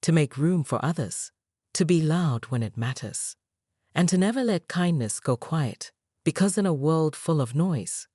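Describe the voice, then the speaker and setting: A woman narrating a scripted story in a measured, dramatic storytelling manner. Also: low-pitched, animated, mellow, dry recording, studio quality.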